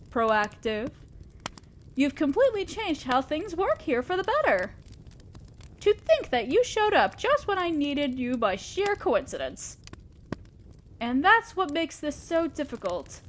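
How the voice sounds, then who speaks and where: A woman's voice: high-pitched, a little hiss or hum, heavily acted. A woman playing a fair-minded but ambitious demon lord boss in a scripted audio drama, speaking formally and directly to an underling, with a sly teasing streak. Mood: appreciative, hesitant.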